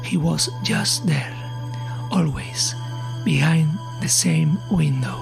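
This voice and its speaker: A man reading scripted horror narration in a suspenseful, often breathy manner. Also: on the high side, slow, over music. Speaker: story narrator.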